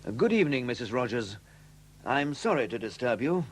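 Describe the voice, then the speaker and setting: An actor playing a courteous, methodical police superintendent in a 1970s radio drama, questioning in a polite, measured, formal way. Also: male voice, medium pitch, sing-song, natural voice. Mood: courteous, gentle. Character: courteous, methodical male London detective superintendent. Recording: noisy old 1970s radio recording.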